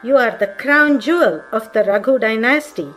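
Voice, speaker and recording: A woman's voice: fairly high pitch, expressive, normal speed, fairly bright tone. A woman giving a scripted devotional recitation of verses and their meanings. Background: faint Indian classical music.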